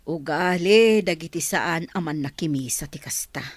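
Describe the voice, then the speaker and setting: A woman's voice: deep voice, moderate pace, no room sound. A woman playing a disapproving, scolding village mother in a comedy radio play. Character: disapproving village mother, grumbling about churchgoers. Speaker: actor in a radio drama.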